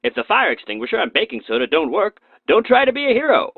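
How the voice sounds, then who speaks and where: Guy doing jokey mock-serious safety narration, super swoopy pitch, thin phone-like sound. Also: sing-song, fast talker, breathy edge, no echo. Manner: tongue-in-cheek, mock-serious. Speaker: presenter. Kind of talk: comedic scripted narration for a safety film. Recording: muffled, phone-like narrow band.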